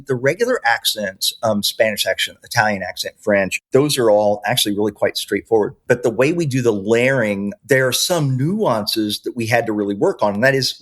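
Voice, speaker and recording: A man speaking as a podcast guest, explaining his product in an enthusiastic, conversational way. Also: medium pitch. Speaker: podcast guest, software maker.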